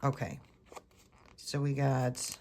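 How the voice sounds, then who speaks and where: Congested woman rambling slowly in a low voice. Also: darkish tone, a little hiss or hum. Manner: chatty, self-correcting, talks to viewers while working. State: congested, voice a bit off. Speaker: planner vlogger. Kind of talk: casual rambling craft chat.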